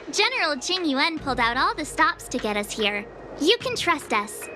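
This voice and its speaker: A woman's voice: high voice, huge pitch swings, a little slow, exaggerated voice. A woman voicing a perky, confident teenage girl adventurer in a video game, breezy, casual and assertive. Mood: upbeat, confident. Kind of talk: video game character acting. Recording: loud game music behind voice. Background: game music.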